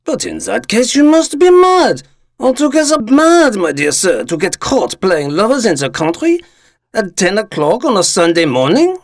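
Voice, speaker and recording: A blustering village mayor in a radio play, acted by a man, with a pompous, incredulous way of questioning. The pitch swoops about in animated fashion, and the sound is dry. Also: moderate pace, dry recording. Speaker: actor in audio drama. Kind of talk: dramatised short story acting.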